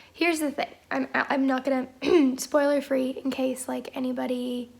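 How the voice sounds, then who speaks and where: A woman in her thirties with a hoarse voice from a cold, talking casually and hesitantly as a vlogger at home. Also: high voice, level tone, slow pace. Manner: rambling and hesitant, many fillers. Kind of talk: casual chat.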